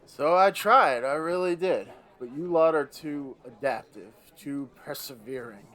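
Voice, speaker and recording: A man's voice: a little high, a little slow, a bit bright. A man playing a bitter, weary old Devil in a radio play, grumbling in a theatrical, sardonic way. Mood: exasperated.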